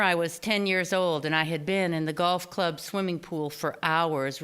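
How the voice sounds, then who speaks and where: A woman reads a personal memoir aloud on stage. Her voice sits a little low and is very smooth and clear. She tells it expressively, shaping and stretching phrases with deliberate care. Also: fairly bright tone. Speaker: author reading own personal essay. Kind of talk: scripted memoir reading at a live storytelling event. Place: small theater stage, live reading before an audience.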